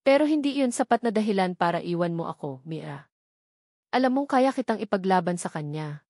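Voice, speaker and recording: A woman's voice: medium pitch, animated, fairly quick, a little mellow, no echo. A woman narrator puts on a bewildered, resentful husband in an audio drama. He presses his questions with hurt and insistence. The recording is very dry. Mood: insistent, resentful. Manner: demanding, emotional questioning.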